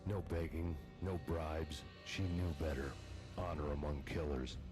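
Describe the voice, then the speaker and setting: An actor playing a brooding, world-weary noir vigilante, narrating his revenge in a gruff, deadpan hardboiled monologue. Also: very deep voice, a little slow. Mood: grim, weary. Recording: music under the voice. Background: loud music.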